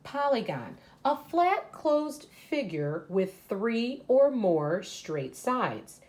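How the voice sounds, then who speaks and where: A woman's voice: on the high side, sing-song, drawn-out words, darkish tone, slight background noise. A woman teacher reading a children's educational book aloud and explaining it to young pupils as she goes. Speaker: third grade teacher.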